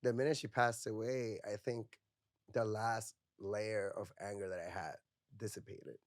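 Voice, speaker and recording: Soft-spoken low-voiced man, reflective. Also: fairly even intonation, a little slow, no room sound, clean studio sound. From Puerto Rico. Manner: reflective, heavy use of "like" and "you know". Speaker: podcast guest, mental health counselor. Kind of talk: candid personal conversation.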